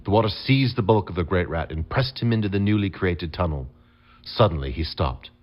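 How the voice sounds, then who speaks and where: A man with a very low voice narrates a fantasy audio adventure game. He tells it in a measured, dramatic storybook style. The recording sounds slightly dull and muffled. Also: expressive.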